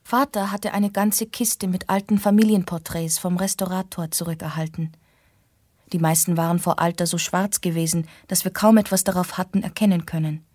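An actress playing an affectionate young daughter in a radio drama, speaking with a soft, breathy voice. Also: female voice, fairly low pitch, steady tone, moderate pace, no echo, studio quality, natural voice. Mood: reflective narrating. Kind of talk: scripted German radio drama acting and narration.

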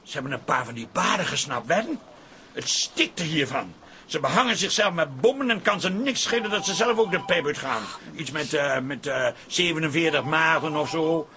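A man's voice: a little high, normal speed, a little hiss or hum. An actor playing a nervy, complaining, paranoid man on holiday in a radio comedy. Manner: complaining, excitable. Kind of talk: Dutch radio comedy drama acting.

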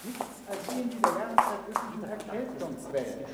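A woman's voice: medium pitch, big pitch swings, fairly quick, natural voice. A woman acting a formal courtly official in a 1960s German radio play, heard from some distance in an echoing space. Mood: brisk, formal. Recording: echoey, noisy, distant from the microphone.

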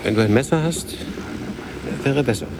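A man's voice: medium pitch, moderate pace, background noise, natural voice. An actor in a radio drama playing a gloomy, sardonic older man, terse and dry, often questioning. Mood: brooding.

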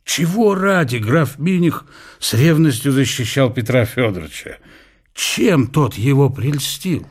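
An actor playing an ailing, aging scholar who muses bitterly and proudly on his country in a radio drama, in a husky, deliberate voice. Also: mid-range voice, animated. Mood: wry, brooding. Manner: deliberate, weighty, philosophical musing. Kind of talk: radio play inner monologue. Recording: music behind the voice.

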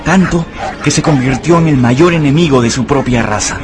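A man's voice: medium pitch, normal speed. A man giving literary storytelling narration for a radio adaptation of a novel. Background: music.

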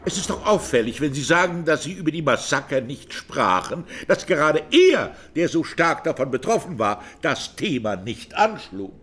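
An actor playing a sharp, sceptical examiner in a 1920s trial radio drama, with pointed, incredulous questioning. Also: male voice, fairly high pitch, very animated, normal speed, hardly any pauses, breathy edge, exaggerated voice. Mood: sceptical, insistent.

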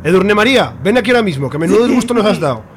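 A man's voice: high-pitched, sing-song, very fast, slight background noise. An actor playing a stern, bossy middle-aged father, talking in a scolding manner.

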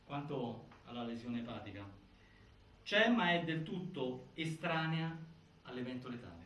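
An actor playing a grave narrator of a prison death inquiry, reciting findings with emphatic delivery and halting pauses in theatrical documentary style. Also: male voice, mid-range voice, expressive, fairly bright tone. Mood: grave, insistent. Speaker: stage actor narrating an investigation. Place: indoor stage, picked up from afar. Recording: distant, echoey, noisy theatre recording.

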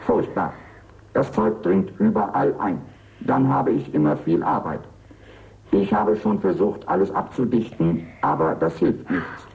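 An actor playing a dutiful, literal-minded old robot servant in a radio drama, speaking formally and politely. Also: male voice, deep voice, expressive, unhurried, vocal fry, a little mellow, heavily acted. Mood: dutiful, weary. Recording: dull, muffled radio drama recording.

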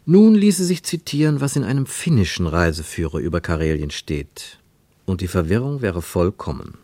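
A man narrating a literary radio play in a measured, precise, wry storytelling manner. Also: low-pitched, big pitch swings, warm tone, no echo. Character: measured, wry male narrator of a travel tale.

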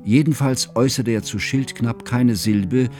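An actor playing an earnest, scholarly friend narrating a composer's life in a formal, measured literary radio drama style. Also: male voice, mid-range voice, music in the background, natural voice. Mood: pondering.

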